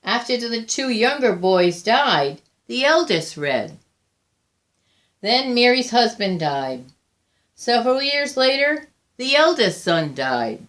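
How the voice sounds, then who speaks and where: A woman reading a picture book aloud as a storyteller, deliberate and expressive, with frequent pauses. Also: on the high side. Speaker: volunteer storybook reader.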